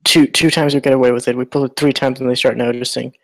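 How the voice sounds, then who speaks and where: A man's voice: medium pitch, flat delivery, quick pace, gravelly, lightly acted. A man reading the part of a cautious, worried accomplice in a screenplay table read.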